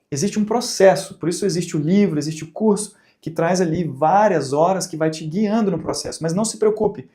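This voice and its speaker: Brazilian man teaching with a mellow voice. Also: high voice. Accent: Brazilian Portuguese. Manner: conversational, asks rhetorical questions, acts out examples. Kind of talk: recorded teaching talk.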